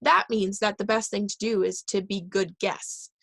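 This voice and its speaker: A woman voicing a calm male marine expert in a storybook read-aloud, explaining in an informative tone. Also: mid-range voice, normal speed, creaky, no room sound.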